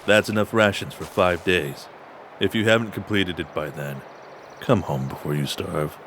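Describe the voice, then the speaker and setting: An actor playing a calm, stern male mentor in an audio drama. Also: sits low, moderate pace, a little hiss or hum.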